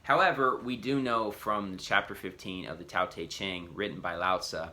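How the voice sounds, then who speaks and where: A man's voice: low voice, normal speed, sharp tone. A man preacher giving an earnest teaching talk, explaining step by step with frequent restarts and "you know".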